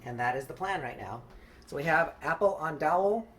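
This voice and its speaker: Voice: medium pitch, expressive, moderate pace, fairly bright tone. Chatty rambling home baker, noisy recording. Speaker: home baker hosting a craft project video. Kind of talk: casual unscripted how-to narration.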